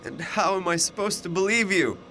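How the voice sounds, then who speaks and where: A man's voice: high-pitched, fairly quick, sharp tone, a little hiss or hum. A young man acting in a radio drama as a defiant, skeptical student, agitated and incredulous. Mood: incredulous, anxious. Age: young adult.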